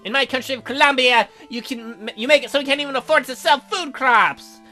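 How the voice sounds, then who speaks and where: A woman's voice: sits high, fairly quick, pushed and bright, faint music behind. A woman voicing a zealous, angry young female vigilante in a visual novel, preaching and giving bossy orders. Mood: righteous anger.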